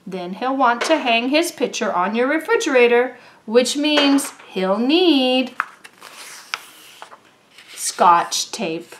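Slow, animated woman reading a storybook. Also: high-pitched, noisy background. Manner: expressive, deliberate, with drawn-out words for young listeners. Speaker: storybook reader. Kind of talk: read-aloud children's story narration.

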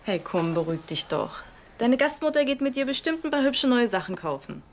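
An actress playing a caring boarding school educator in a naturalistic TV drama. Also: female voice, on the high side, big pitch swings, fairly quick, slight background noise. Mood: soothing. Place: small furnished bedroom, indoors.

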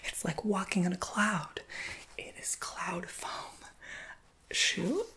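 A woman whispers in a fairly low, breathy voice. She plays a shop clerk in an ASMR roleplay, chatting playfully to a customer. Her delivery is informal, with slangy little asides. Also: fairly even intonation, a little slow, fairly bright tone. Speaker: ASMR performer playing a shoe shop clerk.

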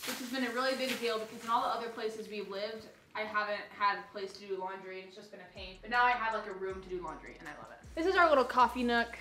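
A woman's voice: medium pitch, fast talker, hardly any pauses, pushed and bright. A woman in her twenties with an American accent, casually showing viewers around a house. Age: 20s. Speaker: vlog co-host. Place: rooms of a house. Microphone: handheld camera a few steps away. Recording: echoey, noisy house rooms, off-camera mic.

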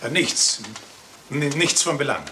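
An actor playing a hesitant, deferential household witness in a German radio crime drama, halting and evasive. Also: male voice, on the high side, animated, sharp tone, a little hiss or hum. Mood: nervous, reluctant.

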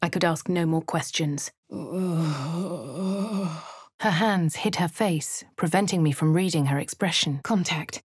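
A husky posh adventurer heroine. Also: female voice, on the low side, slow pace, no room sound, studio quality, exaggerated voice. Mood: wry. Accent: upper-class English. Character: posh female adventurer, dry-witted and composed. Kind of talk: video game voice lines, in-character narration. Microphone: close studio mic.

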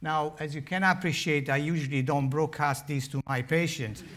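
A man's voice: fairly high pitch, moderate pace. An older man with an Italian accent, a heart surgeon giving a public lecture at a lectern, telling wry stories with many fillers. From Italy. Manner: animated, wry storytelling with frequent uh fillers. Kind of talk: public lecture with humorous asides. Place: large lecture hall, standing at a lectern. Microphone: lectern microphone.

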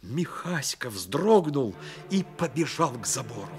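A man narrating a Soviet radio play dramatically, with intense, measured storytelling. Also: medium pitch, very animated. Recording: old radio recording with noisy effects behind.

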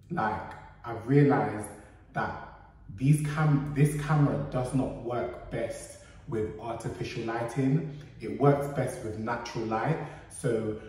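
A man's voice: medium pitch, dark tone. British guy from london, 30s, informal vlog chat, slangy and candid, talking to his camera at home. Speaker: YouTube vlogger. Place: indoors in a flat: kitchen, living room, bedroom.

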